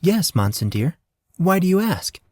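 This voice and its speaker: A man voicing an excitable, motherly woman guardian who fusses and dotes on the boy in her care, in an audiobook reading. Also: high voice, measured pace, dry recording, studio quality, voice pushed up, full character voice. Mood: gentle. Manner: fussy, doting, easily excited.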